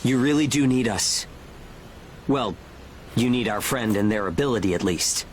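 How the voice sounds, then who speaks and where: Young male lead man acting, game drama, sincere and resolute, mid-pitched, music clearly underneath. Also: moderate pace, close to an everyday voice. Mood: pressing, assertive. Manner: earnest, persuasive. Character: earnest, determined young man leading his crew.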